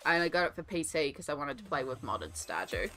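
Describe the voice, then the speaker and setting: An Australian woman with a cold, her voice lowered and coughing, chatting casually and rambling with many ums while she colours on a stream. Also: big pitch swings, quick pace, pushed and bright. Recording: faint music behind.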